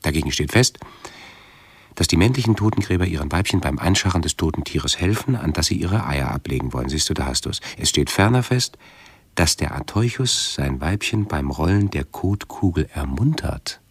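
A low-voiced, fretful hypochondriac in a radio drama. His speech is slightly husky and pedantic, halting as he rehearses and corrects himself. The recording is close and dry. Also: lively intonation, dry recording, full character voice. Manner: pedantic, halting, self-interrupting, brooding aloud. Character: anxious hypochondriac man obsessively rehearsing pleas to doctors. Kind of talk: German radio play monologue.

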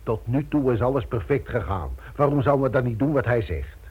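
An actor playing a pragmatic robbery gang leader in a radio play, matter-of-fact and persuasive. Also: male voice, medium pitch, fairly quick, breathy edge, close to an everyday voice. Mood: calmly persuasive. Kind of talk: radio drama acting. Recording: tinny, narrow old radio recording.

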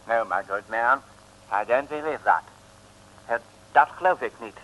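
Crisp-toned English gentleman sidekick. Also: mid-range voice, unhurried. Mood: polite. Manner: formal, courteous, peppered with English phrases. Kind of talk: 1950s-60s Dutch radio comedy acting. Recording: noisy old radio recording.

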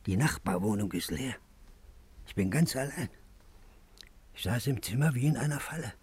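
A man's voice: medium pitch, animated, normal speed, darkish tone, husky, dry recording. A man acting an anxious, suspicious, secretive character in a 1950s radio play, speaking in a nervous, confiding way. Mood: fearful. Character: anxious, secretive man clutching his money, fearful, suspicious.

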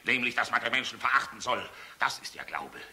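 An actor playing a weary, tormented general in a radio play, moving between clipped military orders and anguished, bitter rhetoric. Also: male voice, medium pitch, brisk, vocal fry, sharp tone. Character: male air force general, weary, tormented, bitterly defiant. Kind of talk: dramatic radio play acting.

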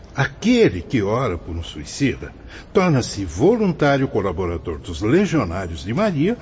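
A man acting as a wise, fatherly spirit guide in a radio drama, patient and solemn, explaining in formal, measured phrases. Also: mid-range voice, a little mellow, slight background noise. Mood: gently correcting. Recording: dull lo-fi audio with music behind.

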